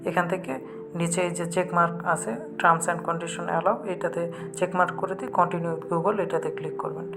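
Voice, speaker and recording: A man's voice: a little high, level tone, normal speed. A man with a Bangladeshi accent hosting a tech tutorial, explaining an app step by step in an instructional way. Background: background music.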